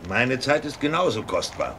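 An actor playing a determined courier in a radio play, with a resolute, formal way of talking. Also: male voice, mid-range voice, sing-song, normal speed, fairly bright tone, a little hiss or hum. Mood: courteous, resolute. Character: determined young courier posing as a merchant. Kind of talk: German radio play acting.

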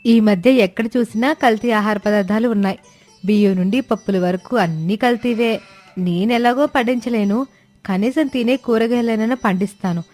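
A woman's voice: a little high, normal speed, darkish tone, soft background music, lightly acted. Cartoon daughter-in-law: young, caring, determined, voiced by a woman. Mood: earnest. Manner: earnest, respectful. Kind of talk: animated storybook voice acting.

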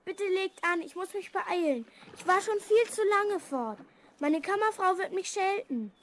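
A very high, girlish voice of a young princess in a children's radio play. She speaks formally and earnestly, with sadness and pride. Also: lively intonation, measured pace, fairly bright tone, a little hiss or hum, exaggerated voice. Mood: anxious. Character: lonely young princess Elizabeth, proud and sad. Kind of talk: German children's audio drama acting.

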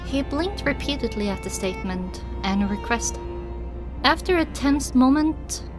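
A woman narrates an audiobook in the first person. Her voice is fairly high and her reading is expressive and dramatic, with faint music underneath. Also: unhurried.

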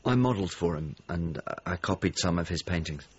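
A male painter speaks in a halting, earnest way in a naturalistic radio play. His voice is rather low and husky, and the sound is slightly muffled. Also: lively intonation. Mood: earnest, hesitant. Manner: hesitant, halting. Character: earnest painter searching for his old mentor and friend. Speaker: actor in a radio drama. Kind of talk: naturalistic radio drama acting.

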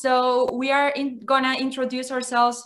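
A young woman from Spain, moderating an online panel over a video call, quick and upbeat. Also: high-pitched, little pitch movement, a bit bright. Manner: quick, upbeat, laughs often. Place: small room indoors. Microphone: laptop webcam on a video call.

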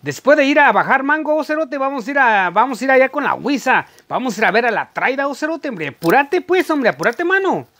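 A man's voice: very high voice, very animated, fairly quick, hardly any pauses, sharp tone, pitched above the performer's own voice, exaggerated voice. A man playing a boisterous Guatemalan buddy, with a Guatemalan accent and a slangy, urging manner, for a vlog.

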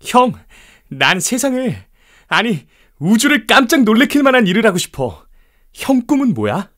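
A man's voice: extremely high pitch, very animated, moderate pace, fairly bright tone, heavily acted. An actor playing a confident, ambitious young male inventor in a children's audio storybook, earnest and emphatic. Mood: eager.

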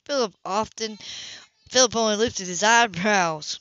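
A woman's voice: medium pitch, measured pace, fairly bright tone, no echo. A woman reading a novel aloud as an amateur audiobook narrator, sometimes stumbling and rereading words. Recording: dull lo-fi home recording.

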